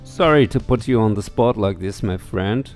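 A man's voice: lowish voice, normal speed, a little mellow. A middle-aged man giving casual, musing game commentary on a headset mic, reading text aloud as he goes. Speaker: let's play streamer. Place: indoor room. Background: faint game music.

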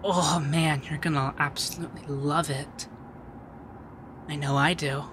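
A man playing a shy, sweet young femboy heir in an ASMR roleplay, hesitant and gently flustered, stammering as he talks. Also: on the high side, fairly even intonation, a little slow, background noise, close to an everyday voice. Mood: excited, welcoming. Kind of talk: ASMR roleplay audio drama.

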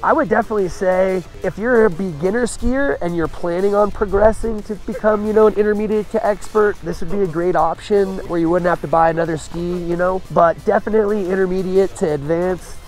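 A man's voice: sits high, brisk, hardly any pauses, a little mellow, low-quality recording. A man talking informally and conversationally as he gives a casual ski review. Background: music.

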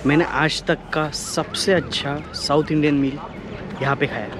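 A man's voice: fairly high pitch, moderate pace, noisy background. Chatty young man vlogging outdoors. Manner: excited, chatty, mixing Hindi and English. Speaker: travel vlogger. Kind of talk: casual on-camera travel chat. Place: outdoors by roadside and in a car. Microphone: handheld camera at arm's length.

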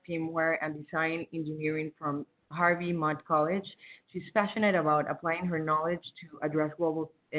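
A woman hosting a webinar, reading out a speaker's bio and welcoming the presenters over a video call. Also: lowish voice. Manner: reads a bio aloud. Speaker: webinar host. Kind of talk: introducing a speaker. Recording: tinny call audio.

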